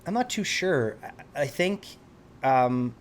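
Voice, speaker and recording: A man's voice: mid-range voice, sing-song, takes it slowly, a little hiss or hum. A man from Switzerland, a helicopter pilot being interviewed, talking in a reflective way and often restarting his sentences as he searches for words. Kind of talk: casual conversation.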